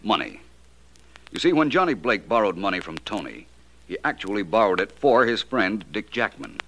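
An actor playing a confident American detective in 1940s radio style, speaking deliberately with self-assured, dramatic emphasis. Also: male voice, fairly low pitch, very animated, a bit bright, heavily acted, poor audio. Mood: assured, explaining. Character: confident, sharp-witted male detective explaining a murder case.

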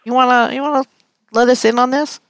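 A radio host from New York with a clear New York accent talks in a bubbly, easy way. The sound is dry and close, with little room around it. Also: high-pitched, fairly even intonation, a little fast, no room sound. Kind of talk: radio talk show hosting.

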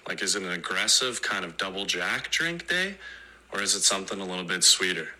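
A man leaving a slow, casual voice message with a question for a podcast. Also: low-pitched, animated, sharp tone, poor audio. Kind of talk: recorded listener voice message.

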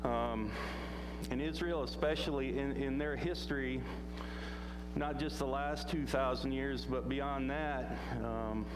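A man's voice: mid-range voice, steady tone, slow, background noise. A man preaching an informal sermon in a church, talking in a loose, rambling way with plenty of fillers and restarts. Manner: says he has a loud voice. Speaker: pastor.

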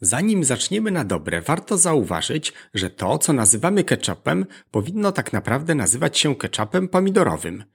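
A man's voice: medium pitch, big pitch swings, moderate pace, creaky, few pauses, slightly rough. A man hosting a podcast, reading a scripted educational narration in an explanatory way that addresses the listener. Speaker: podcast host.

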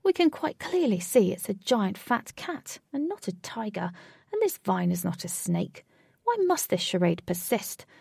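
A woman puts on a grumpy male companion from a storybook. He is curt, formal and drily sarcastic. The tone is dark and husky, with creak at the edges. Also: medium pitch, big pitch swings, measured pace, no echo, studio quality, full character voice. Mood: disdainful. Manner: curt, disdainful, formal wording. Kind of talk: storybook character voice.

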